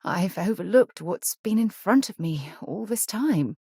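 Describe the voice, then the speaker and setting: A woman's voice: medium pitch, animated, unhurried, darkish tone, husky, dry recording, studio quality. An actress playing an earnest, principled woman space explorer in a video game, speaking in a composed, articulate, rather formal way. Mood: earnest, reflective.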